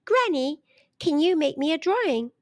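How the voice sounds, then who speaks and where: A woman voicing an eager young girl who dreams of drawing, in expressive storybook dialogue. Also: high voice, normal speed, no room sound, clean studio sound, voice pushed up. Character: eager young girl, dreamy budding artist, easily discouraged.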